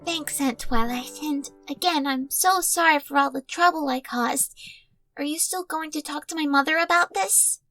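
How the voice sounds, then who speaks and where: Woman as bright high-pitched pony daughter. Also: moderate pace, no echo, faint music behind. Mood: contrite. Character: defiant young pony daughter, sneaky thief. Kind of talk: animated fan audio drama.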